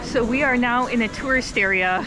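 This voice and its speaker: A woman's voice: on the high side, normal speed, background noise. A young woman from the United States hosting a casual travel vlog, playful and teasing, laughing often as she chats outdoors. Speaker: host and interviewer.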